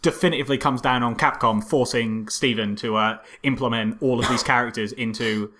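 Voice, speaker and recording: A man's voice: medium pitch, fairly even intonation, a little fast, sharp tone. A man co-hosting a podcast, chatting casually about films in a rambling way and often repeating the starts of his phrases. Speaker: podcast co-host. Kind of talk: casual film review chat.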